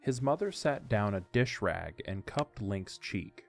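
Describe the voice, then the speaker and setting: Low-pitched male story narrator. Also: expressive, measured pace, vocal fry, soft background music.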